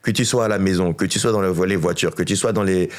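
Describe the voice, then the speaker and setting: A man's voice: low voice, fairly even intonation, fast. A middle-aged man, a musician, answering interview questions in a laid-back way with many fillers. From France. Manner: laid-back, lots of fillers and agreeing "ouais". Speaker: musician guest. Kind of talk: relaxed conversational interview.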